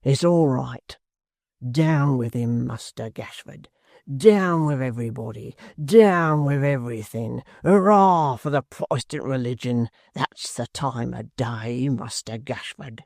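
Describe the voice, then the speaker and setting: A gravelly, wheezing old hangman with a sly, ghoulish relish in every word, done by a male narrator. He draws words out slowly, as if savouring them. The sound is dry and close. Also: mid-range voice, darkish tone, no room sound. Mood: rowdy.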